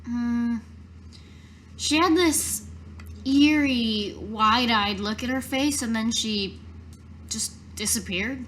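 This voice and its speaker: A woman's voice: on the high side, slow pace, long pauses, fairly bright tone, a little hiss or hum. A woman playing a tabletop game, improvising casually in character.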